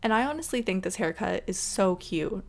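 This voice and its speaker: A woman giving casual, chatty and expressive voice-over commentary as she plays a video game. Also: medium pitch, a little fast, creaky, a little hiss or hum. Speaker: gaming video creator. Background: background music.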